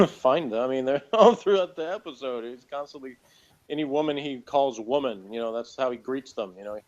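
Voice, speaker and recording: A man with a medium-pitched voice talks casually, as in a review discussion. He argues in a halting way, often rewording himself. The recording is dull and lo-fi. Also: a little mellow. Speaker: podcast co-host reviewing TV episodes. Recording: dull lo-fi audio.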